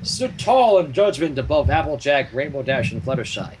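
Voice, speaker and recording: A man's voice: mid-range voice, sing-song, normal speed. A man reading fan fiction as a narrator with casual asides, on a slightly noisy home recording.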